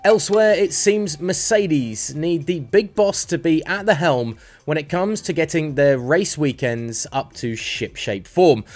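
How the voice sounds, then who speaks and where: Man reading scripted sports news, slightly high-pitched. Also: measured pace, soft background music. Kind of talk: scripted motorsport news narration.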